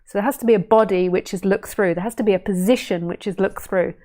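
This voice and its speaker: A woman spiritual teacher in a casual live stream, talking gently and unhurriedly, musing with fillers and restarts. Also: medium pitch, fast. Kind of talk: casual live stream talk answering viewer questions.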